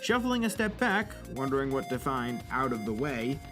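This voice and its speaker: A man's voice: mid-range voice, sing-song, moderate pace, music in the background. A man narrating a scripted story for an audio drama.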